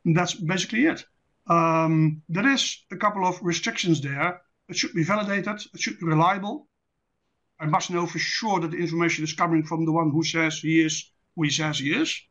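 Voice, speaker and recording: A man with a Dutch accent, a native Dutch speaker, presenting an online lecture in a measured, deliberate, didactic way. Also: fairly high pitch, a bit bright. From Netherlands. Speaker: presenter, intelligence specialist. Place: online presentation with screen share. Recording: dull lo-fi online call audio.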